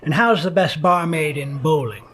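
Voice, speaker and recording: An actor playing a weary, wisecracking 1950s police detective in a hardboiled noir radio play, narrating with wry fatigue. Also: male voice, a little high, moderate pace, a bit bright, echoey room, a little hiss or hum, close to an everyday voice. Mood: brooding, wry.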